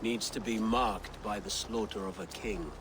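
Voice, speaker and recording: An actor playing an earnest, diplomatic Saxon nobleman in a video game cutscene, measured and pleading. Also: on the low side, steady tone, noisy background. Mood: earnest insistence. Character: earnest, diplomatic Saxon thane, would-be king.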